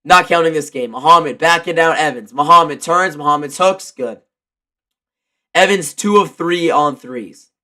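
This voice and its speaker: A man calls a live basketball game in a fairly high, forceful voice. He sounds stuffed up with a cold. His delivery mixes quick play calls with casual, excitable fan reaction. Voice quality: stuffy, congested. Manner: excitable, shouts on big plays. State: congested from a cold. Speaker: live play-by-play commentator and streamer.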